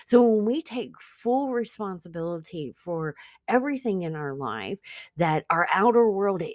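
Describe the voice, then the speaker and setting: A woman's voice: mid-range voice, sing-song, measured pace, no room sound, clean studio sound. A woman who speaks English as a second language, hosting an informal spiritual self-help teaching, earnest and conversational, addressing listeners directly. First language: not English. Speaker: podcast host and spiritual business coach.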